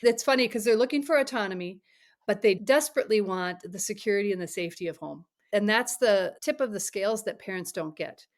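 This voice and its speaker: A middle-aged woman speaking as a guest on a parenting podcast. She gives advice in an earnest, steady way, with a voice of middling pitch. At times she sinks into a soft whisper to show how it's done. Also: a little fast. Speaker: parent coach.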